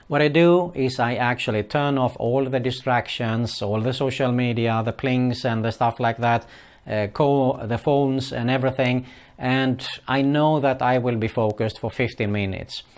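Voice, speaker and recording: Swedish man giving casual online tips. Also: medium pitch. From Sweden. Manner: hesitant, frequent "uh" fillers, non-native phrasing. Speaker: online marketing vlogger. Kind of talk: casual advice talk to camera.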